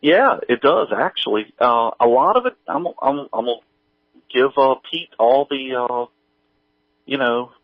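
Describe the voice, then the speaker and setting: A middle-aged man chatting casually as an interview guest, speaking haltingly with many pauses. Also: medium pitch, expressive, dry recording, phone-quality sound. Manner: halting, hesitant delivery full of pauses. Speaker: guest, audio drama creator.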